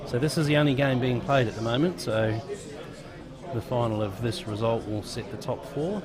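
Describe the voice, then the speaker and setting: A man's voice: medium pitch, moderate pace, a loud crowd. A man with an Australian accent co-commentating pool, casual and dry, with joking asides. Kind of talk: relaxed live commentary.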